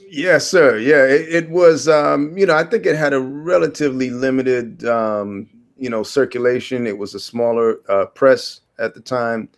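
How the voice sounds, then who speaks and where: A man in his forties from Guyana, a historian answering interview questions in a halting way, with many fillers and restarts. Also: mid-range voice. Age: 40s. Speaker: guest historian.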